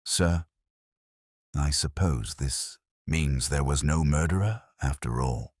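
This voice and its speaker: Man reading as puzzled, deep-voiced police sergeant. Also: takes it slowly, darkish tone, breathy edge, dry recording, clean studio sound, heavily acted. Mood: musing, uncertain. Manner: hesitant, questioning. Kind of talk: dramatised detective story dialogue.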